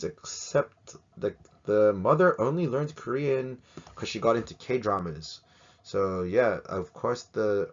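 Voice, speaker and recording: Chatty low-voiced male teacher. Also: gravelly, lo-fi sound. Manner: chatty, jokey, asks many rhetorical questions. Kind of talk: casual educational talk to camera.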